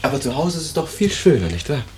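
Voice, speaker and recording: A man's voice: medium pitch, sing-song, fast talker, darkish tone, a little hiss or hum, lightly acted. A man acting a solicitous, coaxing husband in a 1950s radio drama. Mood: coaxing, concerned. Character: solicitous husband of a hospital patient. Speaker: actor.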